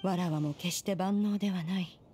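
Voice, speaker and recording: Game role: aloof female immortal, an actress in stern archaic register, imperious and measured, music beneath. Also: lowish voice, steady tone, warm tone, close to an everyday voice. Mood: grave. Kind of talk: Japanese video game voice acting.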